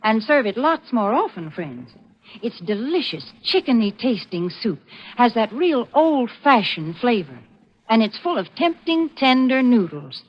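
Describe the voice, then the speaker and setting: Female vintage radio commercial announcer, lively melodic delivery, fairly high, dull period sound. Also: measured pace. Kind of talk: 1940s radio advertising pitch.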